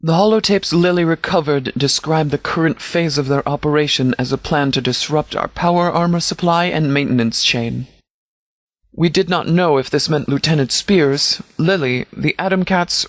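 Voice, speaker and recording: A man playing a stern, composed sheriff in a video game, speaking in a measured, formal, authoritative way. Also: fairly high pitch, dry recording, clean studio sound, natural voice. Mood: businesslike briefing. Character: stern, composed male sheriff leading a post-apocalyptic militia. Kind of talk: scripted video game dialogue.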